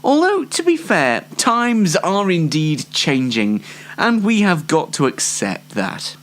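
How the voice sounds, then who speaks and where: A man's voice: on the high side, wildly swooping pitch, slow pace, fairly bright tone. A twenty-year-old British man presenting an informal solo online show in a dry, tongue-in-cheek, rambling way.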